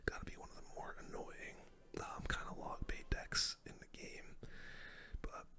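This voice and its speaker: A person whispering casual, chatty ASMR gameplay commentary in a breathy, unvoiced voice, close to the microphone. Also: normal speed, pushed and bright. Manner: casual, chatty gamer slang. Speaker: gaming video host.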